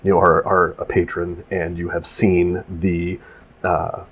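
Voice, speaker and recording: Man, deep and slightly husky, congested and nasal; loose rambling voice-over for a maker video. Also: level tone, takes it slowly, fairly bright tone. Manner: rambling, full of restarts and fillers. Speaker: maker explaining his prop build. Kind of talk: casual voice-over narration of a build video.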